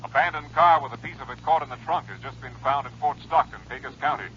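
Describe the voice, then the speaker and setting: Brisk police captain, dull phone sound. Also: male voice, medium pitch, pushed and bright. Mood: brisk, businesslike. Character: brisk, businesslike male police captain reporting by telephone. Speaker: supporting radio actor. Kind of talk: 1950s radio drama acting. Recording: noisy, dull old radio phone-call recording.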